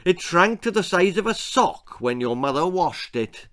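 Man putting on a croaky, rambling old granny. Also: high voice, big pitch swings, a little slow, noisy background, voice pushed up. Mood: rambling, reminiscing. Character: rambling, oblivious, deaf elderly Welsh granny.

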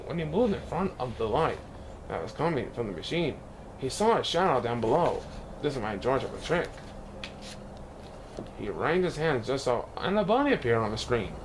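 A man reading a picture book aloud in an expressive way, now and then stumbling over words. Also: medium pitch, background noise. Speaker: children's book reader.

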